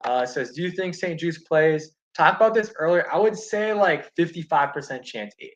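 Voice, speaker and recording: A man's voice: on the high side, normal speed. Rambling young man on a dull stream mic. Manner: relaxed, rambling, many fillers and restarts. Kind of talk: casual live-stream chat reading comments. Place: home.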